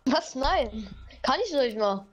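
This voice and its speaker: A woman's voice: high-pitched, expressive, slow pace. A female player chatting casually in German voice chat while playing a video game.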